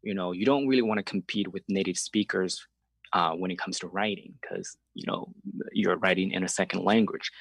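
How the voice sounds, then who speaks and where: A man's voice: on the low side, dry recording, rough recording. A man from China, a native Chinese speaker, giving earnest, reflective interview answers as a journalist and explaining his points conversationally.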